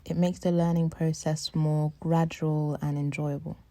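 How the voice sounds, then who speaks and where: A woman giving calm, measured study advice as a voice-over. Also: low-pitched.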